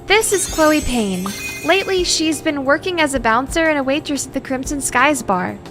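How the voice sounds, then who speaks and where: Woman playing a quiet bookish girl, high voice, polite n measured, audio drama, music in the background. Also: lively intonation. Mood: reflective narration. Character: reserved, bookish young woman, low on energy. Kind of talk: audio drama acting with narration. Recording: music behind the voice.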